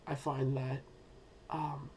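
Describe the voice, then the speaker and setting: Hoarse, sick young man with halting speech. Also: medium pitch, flat delivery, a little mellow, a little hiss or hum. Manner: hesitant, many ums and uhs, thinking aloud. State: sick and losing his voice. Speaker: student presenting a school project. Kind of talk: unscripted personal presentation.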